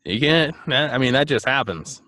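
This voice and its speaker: A man's voice: medium pitch, animated, normal speed, pushed and bright, no room sound, clean studio sound. A man co-hosting a beer podcast, chatting casually and joking with lots of fillers. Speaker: podcast co-host. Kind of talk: casual chat.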